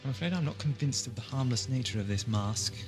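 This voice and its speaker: A man's voice: mid-range voice, expressive, quick pace, soft background music, lightly acted. A man playing an inquisitive, wry and polite time-travelling adventurer in an audio drama, with a questioning manner. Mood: probing.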